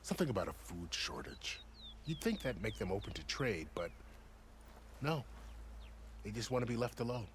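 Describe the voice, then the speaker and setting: An actor playing a seasoned, plain-spoken soldier in a fantasy video game, explaining things in a wry, conversational way. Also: male voice, fairly low pitch, big pitch swings, normal speed, pauses often, slight background noise. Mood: matter-of-fact.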